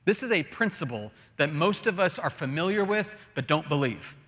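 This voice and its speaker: A man preaches in a forceful, slightly high voice, ringing through a large church. He speaks deliberately, leaning on key words and pausing after questions. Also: lively intonation. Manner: emphatic, deliberate, with rhetorical questions and pauses. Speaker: pastor preaching. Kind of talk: sermon. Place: large church sanctuary, on stage. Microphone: lapel mic. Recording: long reverb of a large hall.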